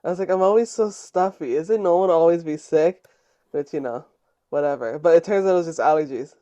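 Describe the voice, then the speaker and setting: A man's voice: on the high side, mellow, muffled recording. A young man co-hosting a casual podcast, telling stories with frequent laughter. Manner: giggly, storytelling, quick to laugh. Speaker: podcast co-host. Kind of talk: casual chat.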